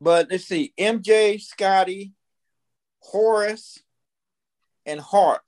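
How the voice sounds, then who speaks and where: A man's voice: high voice, drawn-out words, darkish tone. An American man in his fifties telling stories casually, blunt and opinionated, repeating himself for emphasis. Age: 50s. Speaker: podcast guest. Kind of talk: casual storytelling conversation.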